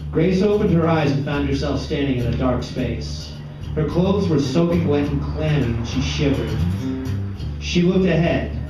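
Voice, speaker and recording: A man reading a story aloud as dramatic, deliberate literary narration in an indoor gallery. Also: on the high side. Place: echoey indoor art gallery. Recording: echoey room, distant mic, dull sound, music behind. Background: plucked guitar music.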